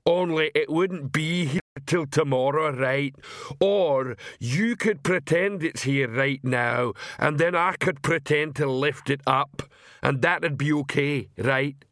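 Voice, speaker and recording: Slow, simple troll guard, higher and unhurried, as a male reader puts him on. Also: a bit bright, dry recording, studio quality. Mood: earnest simple. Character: dim, eager male troll border guard.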